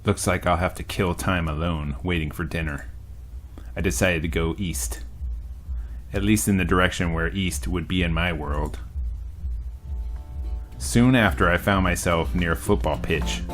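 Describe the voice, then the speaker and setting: A young man casually reading a visual novel aloud as a let's-play host, with joking asides. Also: low-pitched. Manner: casual, joking, reads deliberately. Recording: music bed under voice.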